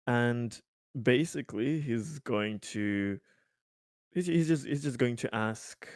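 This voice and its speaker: Man, tabletop player, unhurried and hesitant, casual roleplay talk, dry close mic. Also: medium pitch, no echo.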